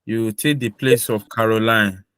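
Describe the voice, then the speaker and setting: A man's voice: lowish voice, fairly even intonation, measured pace, fairly bright tone, smooth, no room sound, clean studio sound. A man hosting a mock visa interview on a video call, asking brief, formal questions in a firm official tone.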